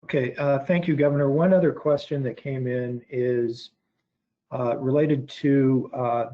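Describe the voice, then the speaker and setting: An American man moderating a conference call, relaying questions politely and hesitantly in measured phrases. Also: mid-range voice, steady tone. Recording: dull conference call audio.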